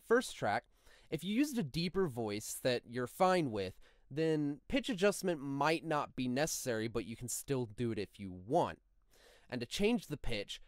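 Slow-paced man narrating a tutorial. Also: medium pitch, no echo, clean studio sound. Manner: instructional, deliberate. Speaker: tutorial host. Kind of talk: step-by-step software tutorial narration.

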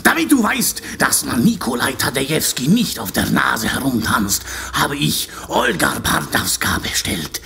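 An actor in an audio drama playing a brutal, domineering pimp who sneers and bullies. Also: male voice, medium pitch, very animated, unhurried, vocal fry, hardly any pauses, pushed and bright, a little hiss or hum, full character voice. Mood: domineering, cruel. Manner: sneering, bullying, explosive. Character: brutal, domineering male pimp and brothel boss. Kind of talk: German audio drama acting.

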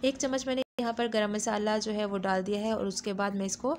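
A woman's voice: medium pitch, level tone, a little fast, a little hiss or hum. A woman, narrating a home cooking recipe as a channel host, in a friendly, instructive way with small fillers. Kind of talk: step-by-step cooking voice-over. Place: home kitchen.

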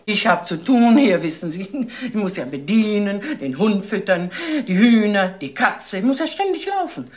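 An eccentric, insistent boarding-house woman, played by an actress in a radio crime play. Her pitch swings widely, and she is querulous and dramatic. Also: sing-song, normal speed, hardly any pauses, slight background noise, natural voice. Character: eccentric, stubborn female boarder who does chores.

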